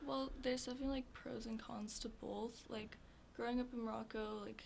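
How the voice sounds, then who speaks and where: A teenage girl answering interview questions softly, leaning heavily on "like" and other fillers. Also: fairly high pitch, steady tone, a little fast, creaky, crowd noise. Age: teens. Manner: soft-spoken, filler-heavy with frequent "like". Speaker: interview guest. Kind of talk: casual interview.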